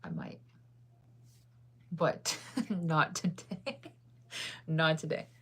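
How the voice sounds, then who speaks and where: A woman's voice: low voice, sing-song, extremely slow, a bit bright, breathy edge. A woman chatting casually with her viewers, rambling through stories with lots of fillers and frequent laughter. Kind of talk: casual chat.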